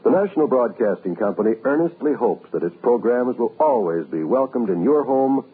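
A man's voice: medium pitch, expressive, a little fast. A man with an American accent making a solemn, stately network announcement in formal 1940s radio style. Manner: solemn, stately, rounded phrasing. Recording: narrow, rough old-time radio recording.

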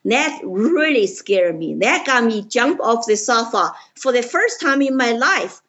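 A woman with a Chinese accent tells her story in a slightly high voice, earnest and forceful in her emphasis. The audio is dull and lo-fi, as if muffled. She speaks in plain, direct sentences. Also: animated, unhurried. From China. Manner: earnest, emphatic, simple grammar. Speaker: political candidate, guest. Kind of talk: interview storytelling.

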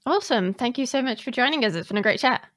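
A woman's voice: on the high side, lively intonation, fast talker, a bit bright. A woman hosting a podcast in a casual, conversational interview style. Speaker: podcast host.

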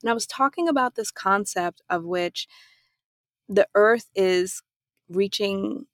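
A woman from Germany hosting her own podcast, talking through her thoughts in a calm, reflective solo monologue. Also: mid-range voice, slow, no room sound, clean studio sound. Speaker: podcast host.

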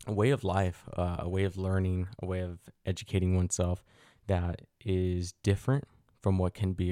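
A man's voice: deep voice, mellow, dry recording, clean studio sound. A middle-aged man hosting a solo podcast, talking thoughtfully and asking himself rhetorical questions, with frequent fillers. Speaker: podcast host. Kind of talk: reflective solo podcast monologue.

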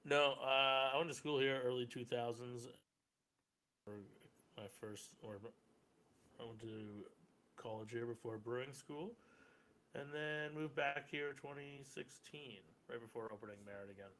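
Stoned host who jokes at his own expense; mid-range pitch. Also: measured pace, fairly bright tone, a little hiss or hum. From Canada (Welland, Niagara). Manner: self-deprecating, jokey, quotes himself. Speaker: podcast host. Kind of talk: casual podcast chat.

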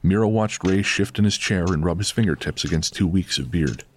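A man's voice: low voice, a little fast, a little hiss or hum. A man narrating a scripted horror audio drama as storyteller.

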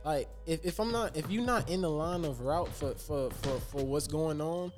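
A man's voice: a little high, normal speed, over music. A man, speaking emphatically and stumbling over repeated words as he makes his point. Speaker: podcast co-host. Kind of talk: casual chat.

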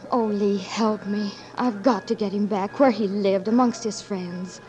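A woman's voice: medium pitch, fairly even intonation, measured pace, a little mellow, faint music behind, close to an everyday voice, rough recording. An actress playing a devoted young wife who pleads urgently for her husband, in 1940s radio-drama style.

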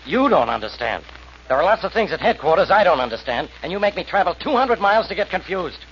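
Casting: gruff, sarcastic American cop, highish rapid voice, old radio crime show, faint hiss. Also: male voice, sing-song, fairly bright tone, heavily acted. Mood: grumbling. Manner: blustery, impatient, sarcastic. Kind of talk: 1940s radio acting. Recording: muffled, noisy old radio recording.

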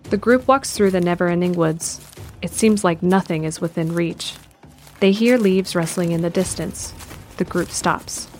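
A woman's voice: fairly low pitch, measured pace, darkish tone. A woman reading scripted narration for an audio drama. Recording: music behind the voice.

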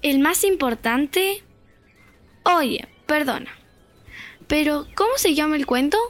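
A sassy young fairy-tale girl, acted by a woman who refuses to follow her story. She speaks in a high, defiant voice for a comic audio drama, with faint music behind. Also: lively intonation, slow pace.

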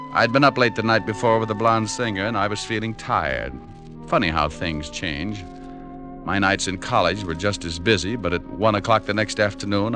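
An American actor playing a suave, wisecracking private detective with wry, hardboiled delivery in an old-time radio show. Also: male voice, fairly low pitch, natural voice. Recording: old radio broadcast with music underneath. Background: music.

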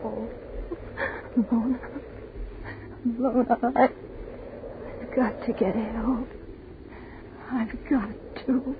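Terrified young woman, high voice, slow halting delivery, pleading, desperate, vintage horror radio, scratchy narrow-band recording. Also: flat delivery. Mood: pained, faltering. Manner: halting, repetitive, pleading speech. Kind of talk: 1940s radio horror acting. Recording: scratchy, tinny 1940s radio broadcast.

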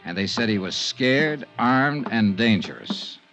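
An actor playing a tough, wry insurance investigator in a 1950s American radio drama, clipped and matter-of-fact. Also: male voice, lowish voice, animated, a little slow, music in the background. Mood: terse narration. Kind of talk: 1950s radio detective acting. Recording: vintage 1950s radio broadcast.

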